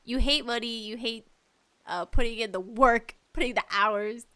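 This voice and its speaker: A woman hosting a casual solo podcast about games, talking in an animated, playfully exasperated way with emphatic delivery. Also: fairly high pitch, normal speed, smooth. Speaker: podcast host.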